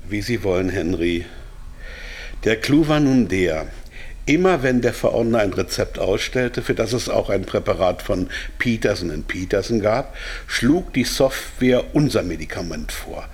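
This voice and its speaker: An actor playing a smug pharmaceutical executive held captive, explaining himself in a German radio drama. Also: male voice, fairly low pitch, animated, unhurried. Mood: smug, explanatory. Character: captive male pharma marketing executive, smugly explaining, easily rattled.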